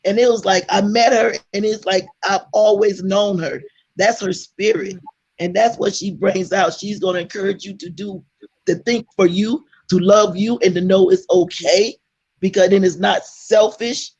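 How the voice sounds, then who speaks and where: A hoarse woman guest speaking over a video call, earnest and soft-spoken, often repeating herself. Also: medium pitch, fairly even intonation, moderate pace. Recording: dull lo-fi call audio.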